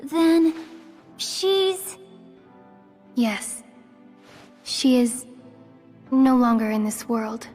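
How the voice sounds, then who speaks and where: A woman playing an earnest, emotional young fighter in a video game, with a halting, dramatic delivery. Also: high voice, animated, a little mellow, exaggerated voice. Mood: grave. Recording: reverberant, faint music behind.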